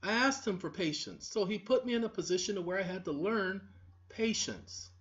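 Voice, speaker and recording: Woman video host, preachy confrontational monologue, animated delivery, muffled lo-fi audio. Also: medium pitch, moderate pace. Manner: preachy, scolding, repetitive, talks straight to the audience. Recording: dull lo-fi recording.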